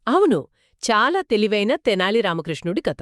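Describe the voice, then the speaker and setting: A woman narrating a folk tale in a lively, expressive storytelling manner, trading lines with a co-host. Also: mid-range voice, moderate pace, dry recording, studio quality. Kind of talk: scripted two-voice story narration.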